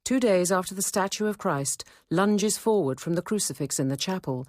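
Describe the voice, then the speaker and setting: An actress playing a wry, sceptical nun who narrates a radio drama in a measured, intimate first-person manner. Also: female voice, lowish voice, animated, dry recording, close to an everyday voice. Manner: measured, intimate, quietly dry.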